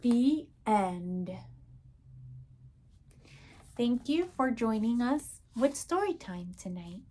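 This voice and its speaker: Slow, higher-pitched woman reading a children's story. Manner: expressive, measured storytelling with asides to young listeners. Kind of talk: children's storytime poem read aloud.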